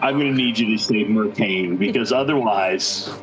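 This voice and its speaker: A man's voice: fairly low pitch, moderate pace, music in the background, low-quality recording. A man chatting and bantering casually as a player in a tabletop game.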